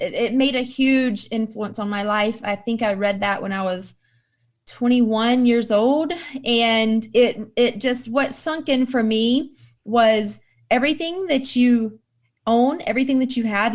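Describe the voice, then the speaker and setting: A woman in her thirties answering interview questions in a casual, upbeat way and laughing readily. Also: fairly high pitch. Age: 30s. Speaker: guest.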